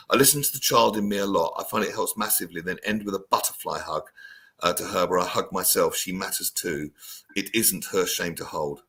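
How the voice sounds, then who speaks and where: A man hosting a talk show, speaking in a gentle, reflective and supportive way. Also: low voice, breathy edge. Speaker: host. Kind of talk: casual talk show chat.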